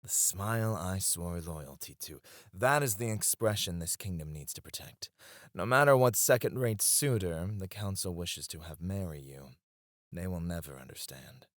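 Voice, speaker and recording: A man voices a scheming royal advisor in an ASMR roleplay, with a very low voice that still rises and falls with a lot of life. He talks softly and teasingly, close to the microphone, and sinks to a near-whisper on his titles. The sound is dry, with no room around it. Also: expressive, normal speed, dry recording. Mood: teasing, confiding. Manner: soft-spoken, dropping to a hush on titles; teasing and confiding. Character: scheming male royal advisor, smooth and devoted. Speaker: voice actor.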